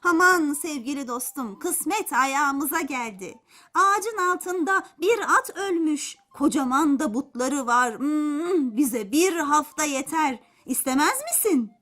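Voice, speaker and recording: Woman voicing a sly, lively storybook fox. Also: high-pitched, takes it slowly, voice pushed up, exaggerated voice. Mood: coaxing. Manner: hums thoughtfully, persuasive. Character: sly, helpful male fox, scheming and coaxing. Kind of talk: children's folk tale storytelling.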